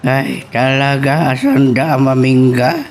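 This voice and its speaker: A man playing a grave, worried older father in an Ilocano audio drama, with a creaky voice and an Ilocano accent. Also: mid-range voice, very animated, unhurried. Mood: worried, resigned. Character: grave, worried old father advising his daughter. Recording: clear background hiss and noise.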